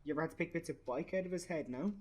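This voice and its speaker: An actor with an Irish accent playing a grieving, bitter young father in a naturalistic radio play, halting and sweary. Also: a little high, a little mellow, close to an everyday voice. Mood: raw, incensed. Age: young adult.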